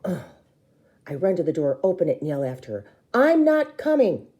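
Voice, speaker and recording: A woman reading a children's book aloud in an expressive, theatrical way, acting out the dialogue. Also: low voice, fast. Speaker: storybook reader.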